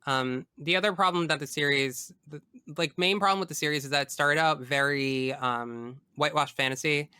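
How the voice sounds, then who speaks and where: A man's voice: a little high, normal speed, pushed and bright, smooth, no echo, clean studio sound. A man talking casually as a podcast guest about video games, opinionated and emphatic, often wandering off into asides.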